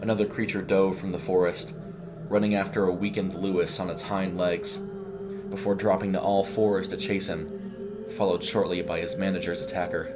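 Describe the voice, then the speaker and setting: A man's voice: low voice, flat delivery, moderate pace, music in the background. A man reading a scripted horror short story as its narrator.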